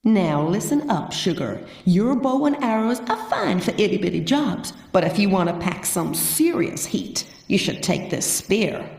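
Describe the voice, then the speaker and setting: An actress playing a bold, flirtatious warrior queen ghost in a game, with a husky, sultry drawl. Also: mid-range voice, sing-song, unhurried, few pauses, darkish tone. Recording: roomy echo.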